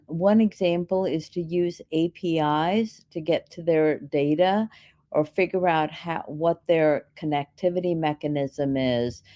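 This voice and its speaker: Low-voiced woman explaining things step by step. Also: mellow. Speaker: keynote speaker answering audience questions. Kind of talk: explanatory conference Q&A.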